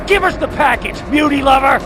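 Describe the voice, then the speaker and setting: A man's voice: very high-pitched, fairly quick. An actor playing a frantic, hateful male militia thug in a video game. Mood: furious. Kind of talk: video game combat barks. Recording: loud music over game dialogue.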